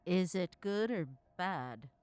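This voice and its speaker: A woman's voice: on the low side, expressive, takes it slowly, a little mellow, smooth, no echo. A woman playing an anxious, tender young wife in a radio-style drama.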